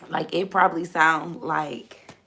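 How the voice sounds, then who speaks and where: Hoarse woman with a gravelly low voice. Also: steady tone, slow, bright, forceful tone. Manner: repetitive, emphatic, preachy. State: voice gone, hoarse. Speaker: host. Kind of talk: casual faith talk livestream.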